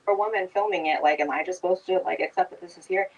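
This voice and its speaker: A debater makes an argumentative, emphatic case, caught on a microphone set some way off. The pitch sits mid-range and the voice has a slightly distant, open sound. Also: fast talker, lo-fi sound, slight background noise. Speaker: debate participant. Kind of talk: heated debate.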